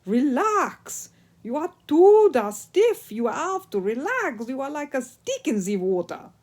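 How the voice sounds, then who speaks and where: A woman reading a children's story aloud as an expressive narrator. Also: mid-range voice, husky. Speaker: storyteller, audiobook reader.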